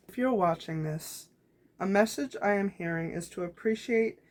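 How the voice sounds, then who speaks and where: Woman vlogger, calm diary-style reading, some vocal fry and rasp. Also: on the low side. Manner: calm, gentle reflective reading. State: voice getting raspy. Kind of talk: reading her handwritten journal aloud.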